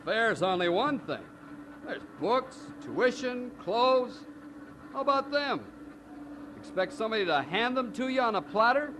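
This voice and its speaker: Cocky teasing coworker guy, high voice, swoops around, blunt old radio drama style. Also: animated, unhurried, background noise. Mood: scornful. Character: brash, needling male workmate, skeptical and teasing. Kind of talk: mid-century radio drama acting.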